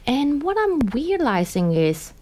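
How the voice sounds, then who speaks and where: A woman's voice: high-pitched, very animated, a little slow, dark tone. A woman, a coach, being interviewed and reflectively telling her career story, with small grammar slips. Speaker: guest, executive coach. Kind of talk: casual interview chat.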